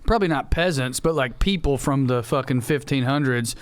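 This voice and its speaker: A man with a smooth, clear voice of middling pitch talks quickly on a podcast. He explains things casually and matter-of-factly, with a wry, sweary edge. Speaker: podcast host.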